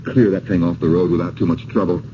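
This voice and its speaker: An actor playing a blunt, impatient, sardonic husband who believes none of it, in a radio drama. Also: male voice, deep voice, steady tone, fast, a little hiss or hum, close to an everyday voice. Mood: firm, dismissive. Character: skeptical, blunt, impatient husband, ordinary city man. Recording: dull old radio recording.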